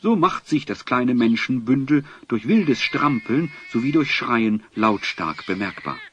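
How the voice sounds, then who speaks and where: A man's voice: mid-range voice, sing-song, measured pace, a bit bright, lo-fi sound, slight background noise. A man narrating a scripted fantasy adventure as a dramatic storyteller for an audio play. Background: music.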